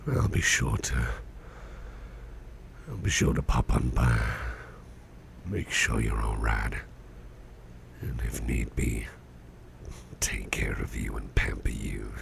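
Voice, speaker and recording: Man voicing a very deep, gravelly, sickly boss. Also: full character voice. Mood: soft, caring. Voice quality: gravelly, breathy near-whisper. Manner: slow, hesitant, folksy and tender. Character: sickly male boss, grateful, affectionate, self-deprecating workaholic. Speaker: ASMR roleplay performer. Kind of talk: whispered ASMR roleplay. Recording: noticeable background noise, soft ambience.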